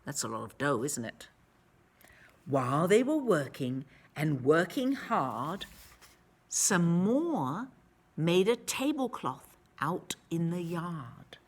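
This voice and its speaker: Woman reading a kids storybook, super slow, big swoops in pitch, dramatic emphasis on words. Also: sing-song, husky. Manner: dramatic emphasis, drawn-out words, hushed asides. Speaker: storyteller reading a picture book. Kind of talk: expressive read-aloud for children.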